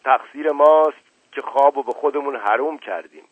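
Guy doing a stern dad giving quick orders, husky, tinny sound. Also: mid-range voice, dry recording, pitched above the performer's own voice, natural voice. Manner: brisk, commanding. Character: stern middle-aged father, curt. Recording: tinny narrow-band, dry.

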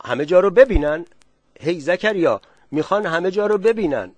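A man's voice: on the high side, expressive, no echo. A man reading the lines of a cautious, courteous older village headman. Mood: wary.

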